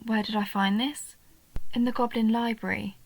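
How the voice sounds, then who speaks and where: A woman voicing a young female goblin in a roleplay audio, a nerdy, sweet and curious bookworm who talks earnestly and eagerly, sometimes softly breathy. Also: on the high side, steady tone, moderate pace, dry recording, poor audio. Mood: fascinated, earnest. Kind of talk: roleplay audio, intimate one-sided scripted dialogue.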